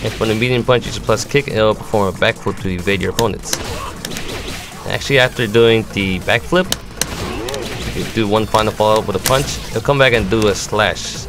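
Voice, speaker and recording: A man's voice: fairly low pitch, fairly quick. A man giving step-by-step tutorial commentary on a fighting game, explaining as a gamer presenting a demo. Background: music.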